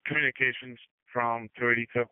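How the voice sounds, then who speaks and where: Man, emergency responder, fast terse radio talk, narrow tinny transmission. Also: medium pitch, steady tone, clean tone, dry recording. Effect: two-way radio. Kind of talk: terse two-way radio traffic.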